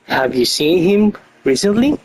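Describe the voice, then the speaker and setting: A man voicing a thoughtful, earnest young traveler hero in fan-read game dialogue, deliberate and analytical. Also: sits high, big pitch swings, a little mellow, faint music behind, low-quality recording. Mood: pondering. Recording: faint noise, clicks on microphone.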